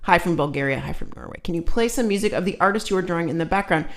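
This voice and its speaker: Woman, lowish pitch, live stream co-host, reading comments aloud, casual, blunt banter. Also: expressive, very fast. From United States.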